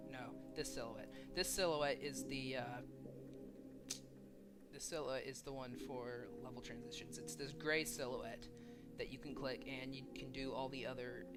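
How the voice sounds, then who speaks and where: A man hosting a casual screen-share tutorial, talking haltingly as he thinks aloud and demonstrates. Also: mid-range voice. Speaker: tutorial host. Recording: music playing behind the voice. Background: loud background music.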